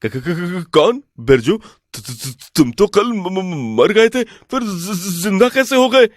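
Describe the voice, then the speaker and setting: A greedy, easily fooled village priest as a man acts him in an animated folk tale. The voice leaps about in pitch and is dry and close. He sounds excitable and credulous. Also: slow pace, no room sound, exaggerated voice. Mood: terrified, stammering.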